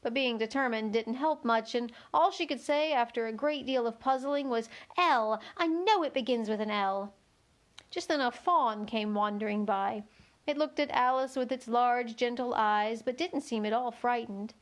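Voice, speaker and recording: High-voiced woman reading a children's story. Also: moderate pace. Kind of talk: children's book narration, live read-along.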